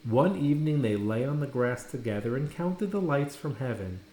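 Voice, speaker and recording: A man reading a children's picture book aloud with expressive storytelling, recorded in an echoey room. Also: mid-range voice, moderate pace, slight background noise.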